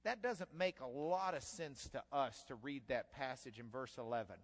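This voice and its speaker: A man's voice: mid-range voice, moderate pace. A man preaching an expository sermon in a conversational, folksy way that builds to emphatic bursts, in a church sanctuary. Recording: long hall reverb.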